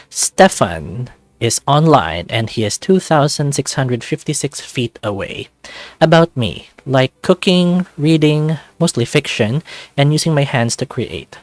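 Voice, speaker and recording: A man, a channel host, narrating a visual novel in the first person with an expressive, animated storytelling delivery. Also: mid-range voice, no room sound.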